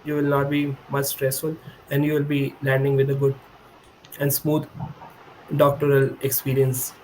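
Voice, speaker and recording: A man's voice: mid-range voice, completely flat delivery, normal speed, a little mellow, slight background noise, rough recording. A young man in his twenties whose first language is Hindi, speaking on a video call as a podcast guest and explaining things earnestly, often correcting himself mid-sentence. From India. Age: 20s. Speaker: guest, PhD student. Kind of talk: remote podcast interview. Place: indoor room with a high-backed chair.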